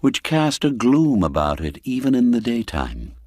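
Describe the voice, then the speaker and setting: A man reading a classic gothic story as an audiobook narrator, in a measured, literary, atmospheric style with music behind him. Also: medium pitch, big pitch swings, darkish tone, dry recording, studio quality.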